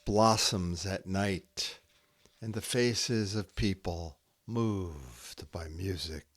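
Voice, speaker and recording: Male poetry host, unhurried and deliberate, lowish voice, long pauses between short phrases. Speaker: podcast host reading poetry. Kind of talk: measured poetry reading and scripted narration.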